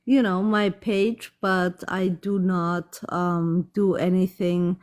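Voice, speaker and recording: A woman's voice: fairly low pitch, little pitch movement, slow pace, darkish tone. A woman from Germany, a guest on a live stream, chatting in an easygoing, friendly way. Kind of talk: casual chat.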